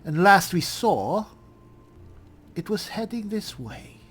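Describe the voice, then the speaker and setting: A man's voice: high-pitched, big pitch swings, slow pace, slight background noise. A man roleplaying a haughty elf as he comments on a fantasy game, dry and condescending. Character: haughty male high elf spellsword. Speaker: gamer, roleplay series host.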